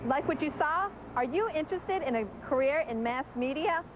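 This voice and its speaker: A woman's voice: sits high, lively intonation, moderate pace, over music, low-quality recording. An American woman reading a scripted recruitment promo as an announcer.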